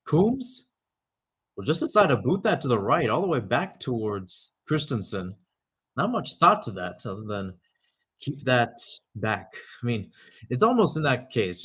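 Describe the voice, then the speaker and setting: A man's voice: mid-range voice, very animated, normal speed, a bit bright, slightly rough. A young man giving live radio-style soccer commentary, mixing clipped calls of the play with conversational asides. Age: young adult.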